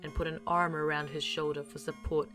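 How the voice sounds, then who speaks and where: A woman's voice: sits low, level tone, a little fast, music in the background. A woman delivering scripted narration as the narrator of an audio series, in a formal storytelling manner.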